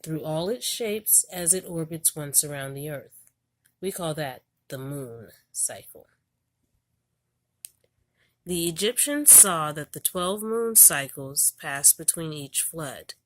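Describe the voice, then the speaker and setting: A woman reading an educational children's book aloud in a deliberate, storybook manner. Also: low-pitched, animated, no room sound.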